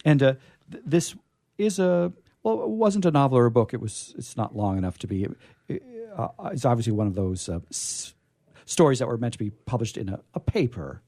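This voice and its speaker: A man with a mellow, relaxed voice hosts a readings show. He talks off the cuff in a halting, rambling way, dropping in jokey asides. The recording is dry, with hardly any room sound. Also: mid-range voice, no echo. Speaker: host and reader of a radio players' show. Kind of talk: chatty, off-the-cuff introduction.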